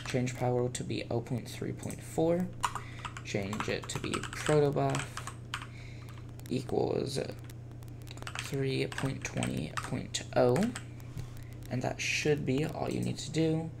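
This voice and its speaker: Male tutorial presenter, informal screencast narration, medium pitch with noticeable vocal fry. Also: slow pace, long pauses. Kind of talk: casual software tutorial screencast voice-over. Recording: faint background noise and keyboard typing.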